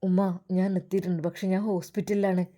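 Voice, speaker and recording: A woman's voice: lowish voice, level tone, fast, warm tone, lightly acted. A woman storyteller voicing a concerned young man who speaks earnestly to reassure an older mother. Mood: hesitant, calming.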